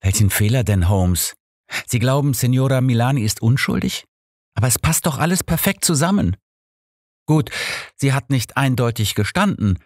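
A man's voice: mid-range voice, expressive, moderate pace, a little mellow, dry recording, natural voice. Man acting as loyal sidekick, male, reasoning, argumentative, reassuring, audiobook, dry sound. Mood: reasoning, insistent. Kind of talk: audiobook character reading.

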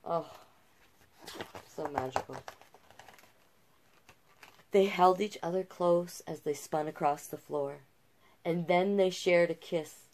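A middle-aged woman reading a children's picture book aloud with expressive delivery and casual asides. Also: on the low side, slight background noise. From Canada. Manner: unhurried, expressive storybook delivery. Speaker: storytime host and drag king. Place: small cluttered room indoors.

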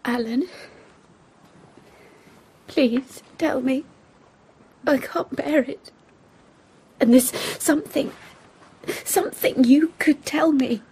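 An actress playing a sensitive, troubled young woman in a period radio play, halting, intense and pleading. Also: high-pitched, dark tone. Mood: distressed, pleading. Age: young adult.

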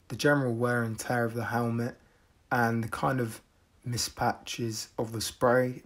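A man from the UK narrating a casual product review in a measured, deadpan manner. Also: mid-range voice. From United Kingdom.

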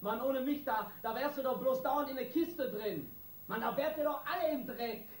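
An actor playing a hot-tempered small-time gang boss in a radio crime drama, coarse and threatening in street slang. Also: male voice, very high-pitched, normal speed. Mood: angry, domineering. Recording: roomy, distant, noisy radio drama.

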